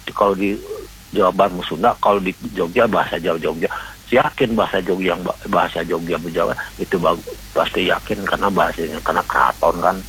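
A man with a low voice speaks as a radio caller over a faintly hissy line. He rambles earnestly, repeating himself and halting as he urges his point. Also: a bit bright, poor audio. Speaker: radio call-in listener. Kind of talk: rambling earnest opinion talk.

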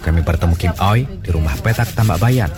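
A man with a very deep voice narrates a radio documentary in a measured, formal way. Loud music runs under his scripted lines.

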